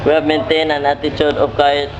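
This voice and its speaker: A man playing formal, middle-class patriarchs and academics in an amateur radio play, with measured wording. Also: on the high side, monotone, natural voice. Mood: stern, formal. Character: stern, formal father and kindly chemistry professor. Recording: muffled, clearly noisy amateur recording.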